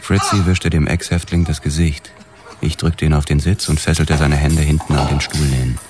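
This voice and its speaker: Loud music runs behind a hard-boiled male detective with an extremely deep voice. He narrates the radio drama in the first person, grim, terse and literary. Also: steady tone, moderate pace. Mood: grim, detached.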